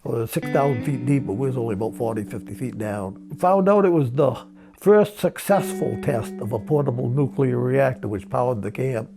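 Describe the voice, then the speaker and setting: Gravelly older New man over guitar. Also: mid-range voice, a little fast. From Maine, USA. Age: 70s. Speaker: grandparent being interviewed. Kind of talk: personal reminiscence. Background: acoustic guitar music.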